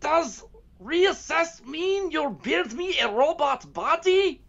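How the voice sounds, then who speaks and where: Childish, excitable villain brain, swooping. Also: high-pitched, slow pace, vocal fry, dull audio, heavily acted. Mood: childishly excited. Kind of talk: comedic audio drama acting.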